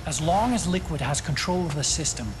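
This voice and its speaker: A woman's voice: low voice, animated, brisk, background noise. A woman playing a serious, analytical scientist in a video game, explaining things earnestly. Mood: earnest, grave. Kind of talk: video game cutscene acting.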